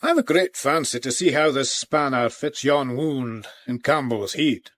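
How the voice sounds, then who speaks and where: An actor in a radio drama playing a dogged, deferential country police inspector with a Scottish accent and homely, plain-spoken manner. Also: male voice, mid-range voice, animated, measured pace, a bit bright, no echo. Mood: dogged, puzzled.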